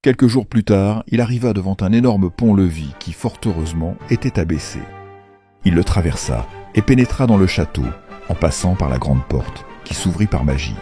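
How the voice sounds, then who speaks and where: A man narrating a children's fairy tale from a script in an expressive, playful storytelling manner. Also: sits low, normal speed, faint music behind.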